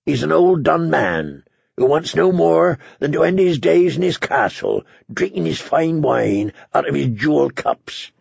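A man voicing a blunt, earnest lowborn knight in an audiobook, with a gravelly, husky voice and emphatic, plain-spoken delivery. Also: very animated, unhurried, darkish tone, dry recording, dull audio, heavily acted. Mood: impassioned pleading.

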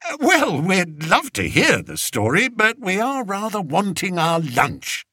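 King Fred, voiced by a man, high and fussy. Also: huge pitch swings, unhurried, bright, forceful tone, husky, no echo, studio quality, exaggerated voice. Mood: haughty, impatient. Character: vain, impatient young male king.

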